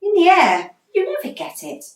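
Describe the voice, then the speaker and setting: A woman's voice: very high voice, wildly swooping pitch, normal speed, heavily acted. A woman acting a blunt, exasperated female football manager in a radio play, scolding and emphatic. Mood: stern, exasperated. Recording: roomy, distant microphone.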